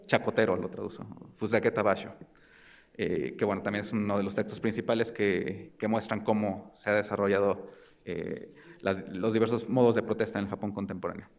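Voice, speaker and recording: Young mexican guy, kinda deep voice, giving an academic talk, hesitant, keeps searching for words. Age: young adult. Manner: hesitant, halting delivery with searching pauses. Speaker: graduate student presenting research. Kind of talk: academic research presentation.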